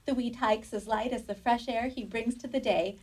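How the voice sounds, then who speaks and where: A woman's voice: on the high side, fairly even intonation, normal speed, a little mellow, natural voice. A woman playing a bustling, bossy nun fussing over a baby in a community radio play. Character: fussy, doting nun caring for a baby. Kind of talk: community radio drama acting.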